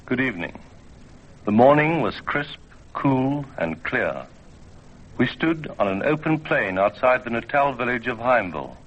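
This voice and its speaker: A man telling a scripted story on old radio in a measured, literary way. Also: mid-range voice. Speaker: storyteller and producer. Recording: dull vintage radio recording.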